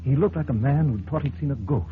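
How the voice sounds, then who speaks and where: An actor playing a courteous, formal mystery storyteller in an old-time radio drama, with measured theatrical delivery. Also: male voice, mid-range voice, lightly acted. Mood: grave, suspenseful. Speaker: host and storyteller. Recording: tinny, hissy old radio recording.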